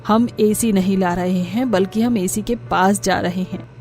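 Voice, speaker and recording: Woman playing a coaxing, scheming mother-in-law, soft-toned. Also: medium pitch, fairly even intonation, a little slow, faint music behind, lightly acted. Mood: brazen. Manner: coaxing, breezily dismissive. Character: scheming middle-aged mother-in-law, thrifty and brazen. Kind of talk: cartoon story character dialogue.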